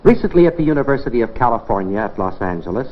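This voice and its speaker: An American man presents a science demonstration in the manner of a 1950s classroom film. His pitch swings expressively as he instructs and puts questions straight to the viewer. The sound is muffled and narrow, like an old film track. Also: very animated, rapid-fire, heavy background noise. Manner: instructive, rhetorical questions, addresses viewer directly. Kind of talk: 1950s educational film narration, scripted demonstration. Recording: dull band-limited 1950s film soundtrack.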